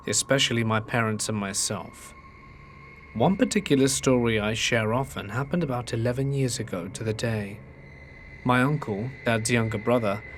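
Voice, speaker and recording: A man narrating true ghost stories in a steady storytelling delivery, reading submitted accounts. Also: medium pitch, a little hiss or hum.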